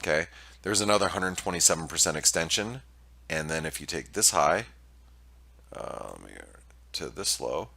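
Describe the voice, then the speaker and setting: Deep-voiced male market analyst, nasal with allergies, bright edge, loose and halting delivery. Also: lively intonation, vocal fry. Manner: hesitant, many fillers and restarts. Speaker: market analyst presenting weekly preview. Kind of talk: casual screen-share market commentary.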